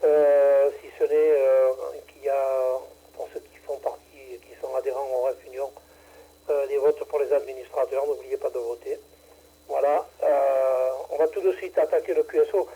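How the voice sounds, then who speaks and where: A man's voice: medium pitch, level tone, moderate pace, mellow, husky, low-quality recording. A man chairing an amateur radio net over the air, talking in a hesitant way with many fillers and restarts. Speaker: radio club president running a ham radio net. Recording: radio transmission.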